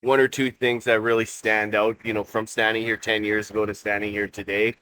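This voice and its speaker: A man's voice: on the low side, little pitch movement, fairly quick, bright, forceful tone. A man asking interview questions in a casual, probing way.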